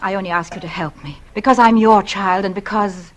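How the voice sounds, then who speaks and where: An actress playing a determined, secretive young wife in an old-time radio suspense drama, urgent and emotionally intense. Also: female voice, medium pitch, brisk, slight background noise, close to an everyday voice. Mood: urgent, resolute.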